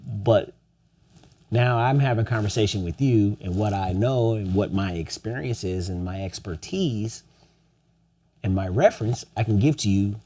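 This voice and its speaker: A man's voice: on the low side, moderate pace, mellow, breathy edge. A young man co-hosting a podcast, talking earnestly and asking rhetorical questions. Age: young adult. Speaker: podcast co-host. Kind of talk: casual podcast conversation.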